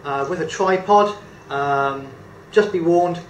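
A British man in his fifties talking through a hands-on camera tutorial, his voice a touch high for a man. He rambles in a friendly way with frequent ums and uhs. The camera mic sits a few feet off in an echoey room with a faint hum of ambience behind him. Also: takes it slowly. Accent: British English. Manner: chatty, hesitant with many fillers, good-humoured. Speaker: photography tutor. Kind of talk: casual demonstration tutorial. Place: indoor room at a table. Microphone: camera mic a few feet away.

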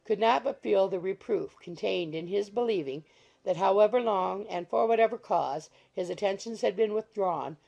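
A woman's voice: mid-range voice, creaky, warm tone. A woman reading scripted literary narration as the narrator of an audiobook. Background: faint music.

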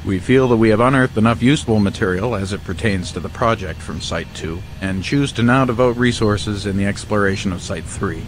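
A man's voice: fairly low pitch, fairly quick, few pauses, a little hiss or hum, natural voice. An actor playing a formal, businesslike male director in a bureaucratic game audio log.

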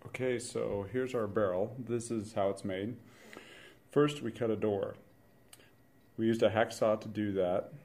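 A man's voice: fairly low pitch, a little slow, gravelly, long pauses. A young man in his twenties, an engineering student, steadily explaining how his team built a hand-powered washing machine. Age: 20s. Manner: steady, instructional. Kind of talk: project explanation and demonstration.

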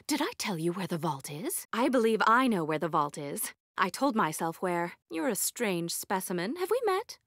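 Game role: eccentric female scientist, socially awkward and haughty, curt delivery, very lively intonation, dry close studio sound. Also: medium pitch, no room sound. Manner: curt, formal, condescending. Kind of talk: video game character voice lines.

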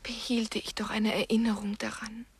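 An actress in a radio play, playing a tender, anxious young river spirit in a breathy, often half-whispered voice. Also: female voice, on the high side, level tone, normal speed. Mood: dreamy, hushed.

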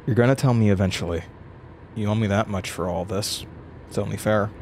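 A man acting a troubled, sardonic radio host in a horror audio drama, halting and sighing. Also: lowish voice, darkish tone, a little hiss or hum, lightly acted. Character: troubled radio host, weary, sardonic, freshly released from custody.

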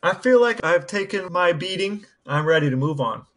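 A man chatting casually as a podcast co-host, with a wry, deadpan manner. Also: high voice, moderate pace.

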